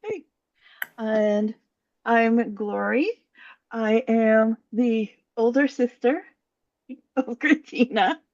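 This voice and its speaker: A woman coach from Puerto Rico who speaks slowly and gently, with a gravelly edge to her voice. She sounds thoughtful and unhurried. The recording is dry and slightly dull. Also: a little high, no room sound. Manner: slow, gentle, thoughtful with fillers. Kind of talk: casual chat.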